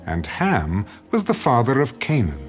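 A man narrating scripture from a script in a measured storytelling manner. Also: medium pitch, wildly swooping pitch, faint music behind.

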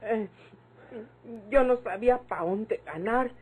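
A woman's voice: on the high side, slow, darkish tone, slightly rough. An actress playing a distraught, frightened young country woman, in old radio drama style. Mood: tearful fear.

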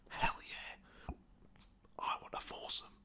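Casting: performer playing shameless, lusty male Labrador in a comic pet tale, British, breathy sharp whisper. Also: takes it slowly. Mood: cocky, randy.

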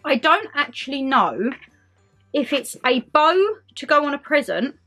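A young woman with a high, bubbly voice talks viewers through her shopping haul in a casual vlog. She sounds a little congested and hoarse, recorded by a camera in a decorated bedroom. Soft music plays faintly underneath. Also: animated, measured pace. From United Kingdom. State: congested, slightly hoarse with a cold. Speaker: haul vlogger. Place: bedroom decorated for Christmas, indoors.

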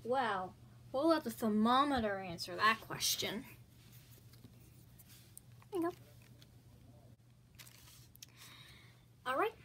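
A woman's voice: fairly high pitch, measured pace, slight background noise. A woman voicing a patient mother who checks her daughter's temperature and grows suspicious of her faked illness, in a comedy skit. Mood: calm. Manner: calm, matter-of-fact, suspicious. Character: patient, doubtful mother of two girls.

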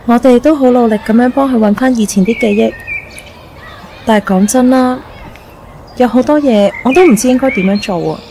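Woman playing a worried, higher-voiced young Cantonese friend. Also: brisk, pauses often, dark tone, slight background noise, close to an everyday voice. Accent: Hong Kong Cantonese. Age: young adult. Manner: earnest, troubled questioning. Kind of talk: radio drama acting.

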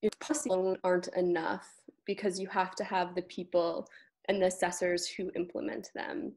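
A woman's voice: on the low side, level tone, normal speed, a little mellow. A young woman speaking as a panelist, explaining things in a discussion over a video call. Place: indoor room on a video call.

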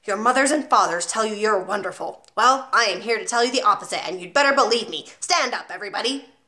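A woman's voice: mid-range voice, expressive, quick pace. A woman reading aloud, voicing a huge, tyrannical headmistress who barks and bellows at children. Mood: scornful. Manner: barking, loud, contemptuous.

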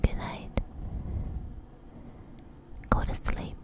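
A woman whispering close to the microphone in a breathy, intimate, conversational way, telling an informal story for ASMR. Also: extremely slow.

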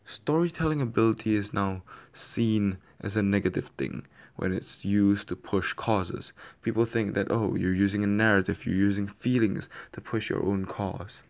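A man from Indonesia giving a reflective personal monologue as a video essayist, with deliberate pauses and repetition for emphasis. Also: on the low side, a little mellow.

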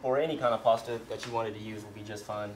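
A man's voice: lowish voice, fairly even intonation, fast. A young American man, a firefighter, chatting casually while he cooks. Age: young adult. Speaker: firefighter cooking a recipe. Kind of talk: casual chat. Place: firehouse kitchen, indoors. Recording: clear kitchen noise, sizzling pan.

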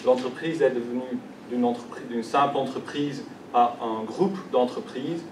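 A man's voice: medium pitch, steady tone, moderate pace, noisy background. Belgian-accented guy presenting at a conference, lots of ums, roomy hall, mic a bit far off. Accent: Belgian French. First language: not French. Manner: hesitant, many fillers and restarts. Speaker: conference speaker. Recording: echoey hall, distant mic.

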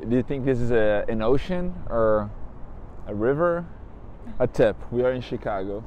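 A man's voice: medium pitch, lively intonation, normal speed, darkish tone, slight background noise. A young man from Brazil with a Brazilian accent, chatting playfully and teasing while vlogging on city streets into a handheld camera. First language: Portuguese. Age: young adult. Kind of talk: casual travel vlog chat. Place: outdoors on city streets and in a car. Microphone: handheld camera at arm's length.

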